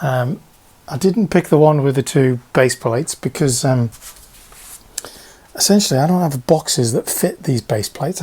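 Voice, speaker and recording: A man's voice: mid-range voice, darkish tone, slightly rough. A man chatting casually while packing orders, rambling and thinking aloud with lots of fillers. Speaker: online parts seller. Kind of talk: casual behind-the-scenes vlog chat.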